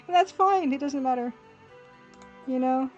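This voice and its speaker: Woman streaming art, kinda high voice, just chatting and thinking out loud, bit of music in the back. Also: takes it slowly, highs cut off. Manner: informal, thinking aloud, reading out chat comments. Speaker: livestream host and pixel artist. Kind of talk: casual chat while drawing on a livestream.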